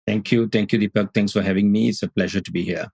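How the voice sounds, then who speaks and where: A middle-aged man from Singapore, a teacher speaking as a podcast guest over a video call, thoughtful and halting with many restarts. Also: fairly low pitch, fairly even intonation, vocal fry, darkish tone, breathy edge, dry recording, studio quality. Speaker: guest, Advaita Vedanta teacher. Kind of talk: casual interview talk. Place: indoor room on video call.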